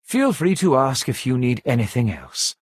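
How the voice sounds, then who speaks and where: A man playing a polite, composed servant of a grand manor in a video game, speaking with formal, measured courtesy. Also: medium pitch, big pitch swings, husky, dry recording. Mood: formal, courteous. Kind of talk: scripted video game dialogue.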